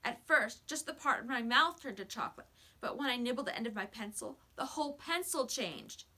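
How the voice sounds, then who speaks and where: A whiny, anxious young schoolboy from a children's storybook, played by a woman. The voice is high and bright, pleading and protesting plaintively. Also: lively intonation, a little fast. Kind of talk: children's storybook read-aloud.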